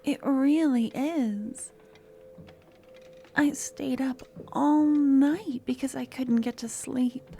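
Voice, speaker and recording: A woman playing an eager, clingy young student with a secret obsession in an ASMR roleplay, speaking softly and often slipping into breathy whispers. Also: sits high, very slow, full character voice. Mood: excited, smitten. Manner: soft-spoken, often dropping to breathy whispers. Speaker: lab guide teaching embalming.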